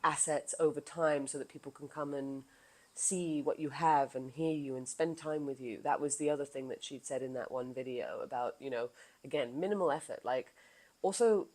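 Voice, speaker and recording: A woman hosting her own podcast in casual chat, with a low voice, animated and laughing often, now and then swearing. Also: moderate pace, low-quality recording. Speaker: podcast host.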